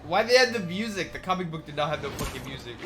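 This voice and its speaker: A man's voice: on the high side, animated, quick pace, very noisy. A man giving casual reaction commentary as a video host, talking in loose slang with some swearing.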